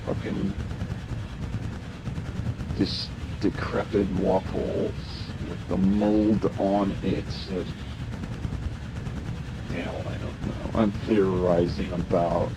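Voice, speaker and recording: A tired man rambling through a halting, mumbled stream-of-consciousness monologue, recorded on a lo-fi analog cassette. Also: lowish voice. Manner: halting, mumbling, with trailing asides. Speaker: artist recording his own tape. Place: home, late-night recording. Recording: noisy lo-fi analog cassette tape.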